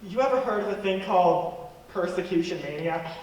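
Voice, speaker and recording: An actor playing a sceptical, easygoing young gentleman in a radio play. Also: high-pitched, animated, brisk. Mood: dry, sceptical. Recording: very echoey hall, distant mic.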